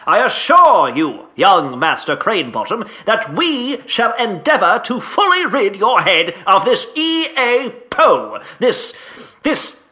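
An actor playing a pompous, sputtering Victorian headmaster in a comedic audio drama. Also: male voice, high-pitched, very animated, slow pace, pushed and bright, heavily acted. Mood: outraged bluster. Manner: pompous, sputtering, old-fashioned exclamations. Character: pompous, blustering 1850s English boarding school headmaster.

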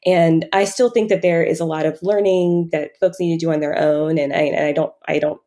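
A woman in casual, reflective conversation, candid and often halting as she corrects herself. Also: deep voice, fairly even intonation, dark tone.

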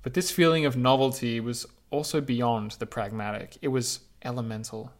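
A man reading his own reflective essay aloud in a measured, lyrical, unhurried way. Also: mid-range voice, fairly even intonation.

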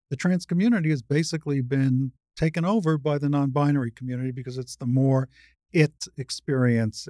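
Older woman with a low-set voice, debating. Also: mellow, no echo, studio quality. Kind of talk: spirited debate in conversation.

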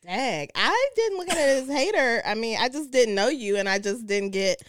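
A woman's voice: on the high side, normal speed, dry recording. A woman in her early forties co-hosting a podcast, chatting casually and playfully with her close friend. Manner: animated, playful. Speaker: podcast co-host. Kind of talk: casual girl-talk chat between close friends.

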